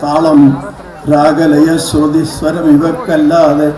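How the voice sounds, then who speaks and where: A man reciting poetry live at a community event, in a measured, chant-like delivery with halting, repeated phrases. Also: on the high side, monotone, dark tone, a faint crowd. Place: echoey event hall. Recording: echoey hall with audience noise.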